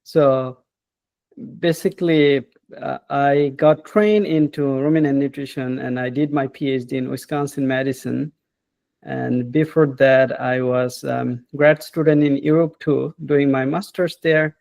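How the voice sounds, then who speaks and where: A man, a professor talking as an interview guest in an unhurried, hesitant way and often adding "right?". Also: mid-range voice, steady tone, vocal fry, darkish tone, dry recording. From Bangladesh. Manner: unhurried, hesitant, with frequent "uh" and tag questions like "right?". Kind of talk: casual interview.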